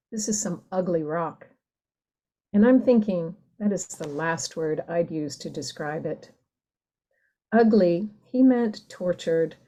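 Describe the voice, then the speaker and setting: A woman poet talking reflectively and reading her work in a thoughtful, deliberate way. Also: on the low side, mellow. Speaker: poet, podcast guest. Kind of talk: reflective talk and poetry reading.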